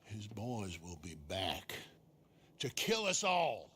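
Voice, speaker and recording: Excitable, swooping small-town Western sheriff. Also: male voice, on the low side, slow pace, a little hiss or hum, full character voice. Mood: anxious. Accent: American. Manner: anxious, wordy, excitable. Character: nervous, excitable small-town Old West sheriff, male. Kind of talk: video game cutscene acting.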